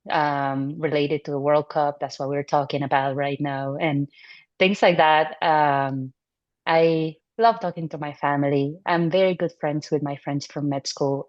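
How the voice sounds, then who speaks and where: A woman in her thirties from Venezuela, a neurology resident, answering interview questions in a relaxed, thoughtful way with fillers and restarts. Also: deep voice, dry recording. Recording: muffled dry audio.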